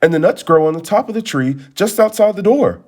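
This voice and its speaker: A man's voice: sits high, lively intonation, fairly quick, darkish tone, voice pushed up. A man voicing a clever, bold young girl, the eldest sister in a storybook, with a questioning, sing-song way of calling out. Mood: sweetly tempting.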